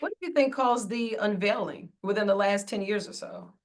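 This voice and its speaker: A woman's voice: medium pitch, normal speed. A woman hosting an interview, introducing an event with upbeat, promotional framing and asking her guest questions. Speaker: host.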